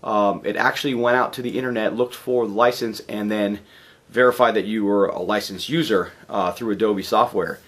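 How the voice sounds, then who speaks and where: A hoarse man in his forties speaks in a fairly low voice, worn by a cold. He rambles casually with plenty of fillers, recorded on a camera mic in a home garage. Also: steady tone, normal speed, a bit bright. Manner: rambling, chatty, lots of fillers and restarts. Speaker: DJ vlogger. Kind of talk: casual video update. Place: home garage workshop, indoors.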